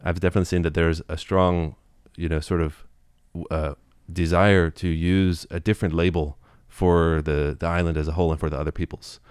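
Low fast-talking guy in an interview, smooth voice, dry sound, keeps saying you know. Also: little pitch movement, a little mellow, no room sound. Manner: quick, thoughtful, full of "you know" and restarts. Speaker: interview guest. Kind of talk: relaxed explanatory interview talk.

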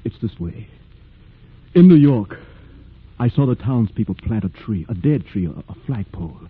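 A man's voice: on the low side, lively intonation, normal speed, slightly rough, dry recording. A man acting an earnest, fearless former slave and freedom fighter in a solemn, declamatory 1940s radio drama style. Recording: muffled, noisy vintage radio drama recording.

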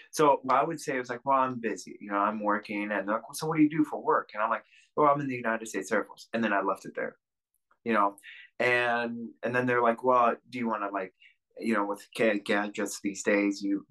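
A man's voice: medium pitch, a bit bright, rough recording. A man speaking as a podcast guest, talking candidly and rambling, with many fillers and restarts. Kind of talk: candid interview talk.

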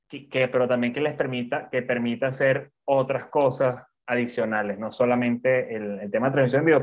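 Venezuelan man, co-host, rambling chat, muffled video call audio. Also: mid-range voice. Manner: rambling, repeats words, laughs often.

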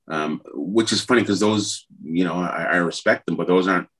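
A man's voice: low-pitched, normal speed, a bit bright, low-quality recording. A middle-aged man from Trinidad, a musician guest telling his story in a rambling, hesitant way with many fillers. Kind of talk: conversational interview.

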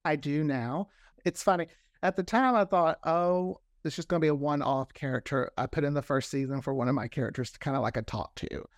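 Higher-voiced man telling stories casually. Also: brisk, dry recording, studio quality. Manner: animated storyteller, often acts out quoted remarks. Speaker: guest, audio drama creator. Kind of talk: casual interview talk.

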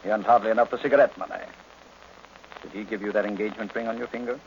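An actor playing a large, imperious private detective in old radio drama, pompous, erudite and curt. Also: male voice, fairly low pitch, steady tone, racing, natural voice. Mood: shrewd, probing. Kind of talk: 1940s-50s radio drama acting. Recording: noisy, muffled old radio recording.

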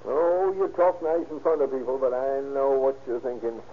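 An actor playing a bitter, paranoid, volatile husband in a querulous, accusing manner, in the style of 1930s radio drama. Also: male voice, mid-range voice, steady tone, moderate pace, close to an everyday voice. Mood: irritable, resentful. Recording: muffled, noisy old radio recording.

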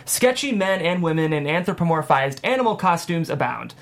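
A woman's voice: lowish voice, very animated, fairly quick. Woman reading out screenplay action lines at a comedy table read, scripted narration. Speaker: stage directions reader.

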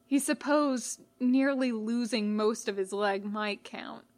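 A woman narrating scripted fiction in a measured, dramatic way with pauses. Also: fairly high pitch. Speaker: podfic reader.

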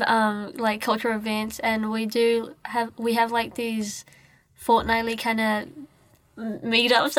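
High-voiced young New woman. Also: no room sound. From New Zealand, born in Nepal. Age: late teens. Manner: informal, peppered with "like". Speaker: podcast guest, student. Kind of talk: casual interview chat.

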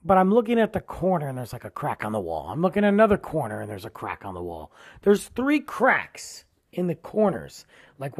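A man hosting his own podcast in a casual, rambling way, tired and losing his voice, often trailing low at the ends of phrases. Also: vocal fry, breathy edge. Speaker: podcast host. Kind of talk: casual solo podcast intro.

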